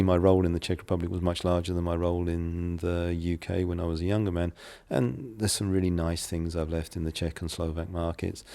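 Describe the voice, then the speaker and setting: A middle-aged English man with a very low, gravelly voice, answering interview questions in a measured, businesslike way. His tone is dark and mellow, and he pauses with the odd filler as he thinks. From England. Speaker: guest, property developer.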